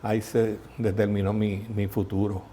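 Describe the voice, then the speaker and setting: An older man with a Puerto Rican accent, a guest telling lively stories in an interview. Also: fairly low pitch, moderate pace, slight background noise. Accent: Puerto Rican Spanish. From Puerto Rico. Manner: lively anecdotal storyteller, mimics voices in quotes. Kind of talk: storytelling interview.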